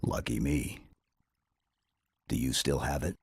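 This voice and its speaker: An actor playing a stoic, laconic armored super-soldier in a video game, speaking in a terse, understated deadpan. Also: male voice, very deep voice, slow, gravelly, husky, no room sound, studio quality, full character voice. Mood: quiet, steady. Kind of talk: video game cutscene acting.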